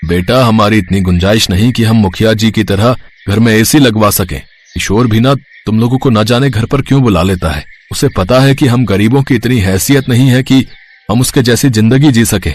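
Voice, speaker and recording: A man voicing a resigned, poor village potter in an animated moral story, plain and fatherly. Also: on the low side, normal speed, close to an everyday voice.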